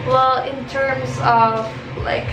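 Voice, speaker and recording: A young woman with a high voice chatting casually as a vlogger, reading out questions with an upbeat manner. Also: little pitch movement, takes it slowly. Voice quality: high-pitched. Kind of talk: casual chat. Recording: echoey room with background music.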